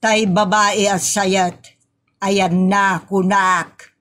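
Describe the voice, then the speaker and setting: A woman's voice: mid-range voice, flat delivery, drawn-out words, heavy background noise. A woman playing a strict, nagging older auntie with an Ilocos accent from the northern Philippines in a comedy audio drama. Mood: indignant.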